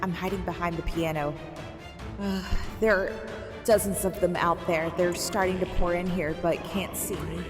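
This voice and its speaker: An actress playing a terrified night nurse in a horror audio drama, breathless and panicky. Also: female voice, fairly low pitch, fairly even intonation, normal speed, over music, natural voice. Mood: hushed dread. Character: terrified female night nurse trapped in a care home.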